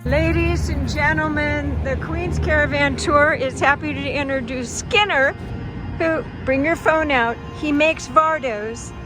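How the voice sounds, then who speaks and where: A woman's voice: sits high, unhurried, rough recording. A woman hosting a travel vlog, chatting enthusiastically with people she meets outdoors. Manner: enthusiastic and exclamatory. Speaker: travel vlogger and host. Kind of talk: casual interview. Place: outdoors by her van. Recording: background music under outdoor talk.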